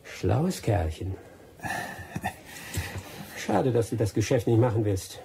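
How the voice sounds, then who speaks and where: Sardonic, mellow-voiced wily adventurer. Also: male voice, fairly low pitch, big pitch swings, takes it slowly, vocal fry, a little hiss or hum, full character voice. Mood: sly, persuasive. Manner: persuasive, sardonic. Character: wily, vengeful expatriate adventurer on the Amazon, sardonic. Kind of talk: German radio drama acting.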